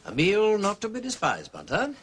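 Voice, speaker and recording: An actor playing a jaunty, quick-witted gentleman sleuth with an upper-class English accent and breezy, theatrical phrasing in a period detective drama. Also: sits high, wildly swooping pitch, a little hiss or hum, full character voice.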